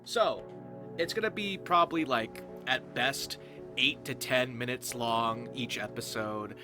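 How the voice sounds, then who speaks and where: Higher-pitched man hosting over music. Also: a little slow. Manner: informal, rambling, occasionally profane. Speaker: roleplay series creator and host, voice actor. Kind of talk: casual gameplay commentary introducing a series.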